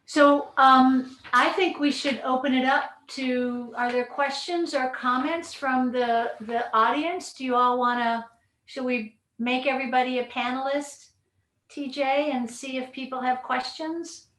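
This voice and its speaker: A woman with a fairly high voice moderates a discussion casually and at a slow pace. The livestream sound is echoey. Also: fairly even intonation, fairly bright tone. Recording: echoey livestream with faint background noise.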